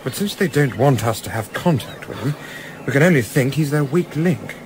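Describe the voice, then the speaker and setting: An actor playing a polite, well-spoken young English gentleman who narrates his adventure in a radio play, with an English accent. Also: medium pitch, expressive, moderate pace, noisy background, natural voice. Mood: brisk, puzzled. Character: Carruthers, urbane young English gentleman, wry, diarist narrator. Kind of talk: radio play acting and first-person narration.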